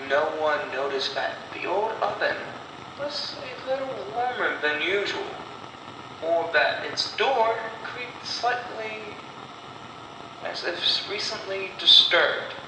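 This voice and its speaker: A man storyteller narrating a scripted horror story in a deliberate way, with dramatic pauses. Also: sits high, animated. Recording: echoey, distant voice with clear noise.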